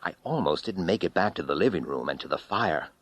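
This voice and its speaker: Deep-voiced man narrating horror, dramatically. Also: animated, fairly quick, breathy edge. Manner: theatrical, intense, swinging from hushed to loud. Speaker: audiobook narrator. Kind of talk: dramatic first-person horror story narration.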